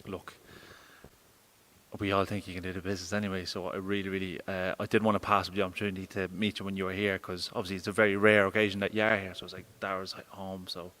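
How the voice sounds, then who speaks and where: A man's voice: deep voice, flat delivery, fast, a bit bright. A young man interviewing a boxer, rambling and hesitant with many fillers.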